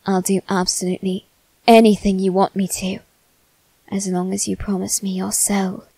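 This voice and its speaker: A woman voicing a polite, coaxing young male demon in an ASMR roleplay aimed at the listener, speaking softly and courteously. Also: medium pitch, little pitch movement, gravelly, husky, dry recording, rough recording. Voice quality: soft, measured and courteous.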